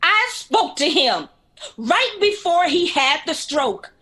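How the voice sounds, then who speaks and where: Outspoken little sister in a radio play, earnest and pushy, super lively pitch. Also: female voice, takes it slowly, heavily acted. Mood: earnest, emotional. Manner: earnest, confrontational. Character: earnest, outspoken younger sister defending her choices. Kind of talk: audio drama acting.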